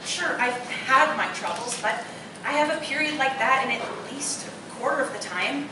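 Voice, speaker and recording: A woman's voice: high voice, animated, normal speed. A woman acting in a live radio drama, playing a blunt, skeptical woman who still hopes for love. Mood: challenging, exasperated. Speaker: actor. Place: roomy live performance space, far from mic. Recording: very echoey, distant live recording, clear noise.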